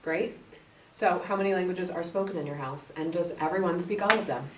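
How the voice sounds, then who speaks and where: A woman's voice: on the low side, fairly quick. A woman hosting an interview, asking questions in a friendly, chatty way and laughing easily. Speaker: interviewer, host. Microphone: far from the mic. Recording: distant, echoey, muffled with hiss.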